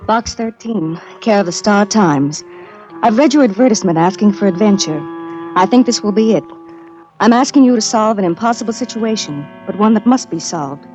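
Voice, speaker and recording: A woman's voice: mid-range voice, lively intonation, normal speed, lightly acted. An earnest young woman of old radio drama, played by an actress, urgent and clipped. Her mid-range voice comes through a dull vintage recording with faint music. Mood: mysterious, earnest. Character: earnest, anxious young woman pleading for help. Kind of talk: 1940s radio drama acting.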